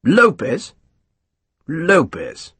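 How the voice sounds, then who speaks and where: A man's voice: a little high, very animated, moderate pace, fairly bright tone, breathy edge, no room sound, pitched above the performer's own voice, heavily acted. A man voicing a pompous, scheming British colonel who talks down to others in a haughty, snappish way, reading for an audiobook. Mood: snapping. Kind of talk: audiobook character voice.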